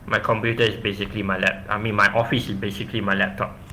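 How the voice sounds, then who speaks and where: A man's voice: on the low side, level tone, very fast, bright, forceful tone, a little hiss or hum, rough recording. A man with a Malaysian English accent, chatting casually as the presenter of an online event, with many fillers, on a laptop mic.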